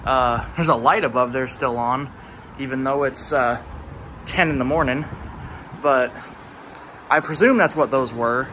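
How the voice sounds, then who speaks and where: A man's voice: mid-range voice, a little slow, long pauses, sharp tone. A man giving casual, off-the-cuff walking-tour commentary as a vlogger, with a dry, self-deprecating sarcasm. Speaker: urban exploration vlogger. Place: outdoors, walking around a building exterior. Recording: outdoor ambience with traffic noise. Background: passing cars, outdoor urban ambience.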